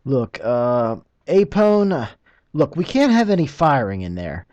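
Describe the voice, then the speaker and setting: A man's voice: medium pitch, big pitch swings, unhurried, a little mellow, no echo, dull audio. An actor playing an uneasy male military lieutenant in a science fiction film, giving hesitant, clipped orders. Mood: uneasy command.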